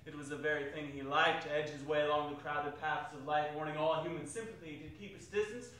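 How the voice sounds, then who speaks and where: A man's voice: fairly high pitch, fairly even intonation, brisk, pushed and bright, slight background noise. A man reading a classic story aloud in a theatrical stage performance. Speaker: stage reader in a theatre company. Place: arts center performance space, distant camera. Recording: very echoey hall, distant microphone.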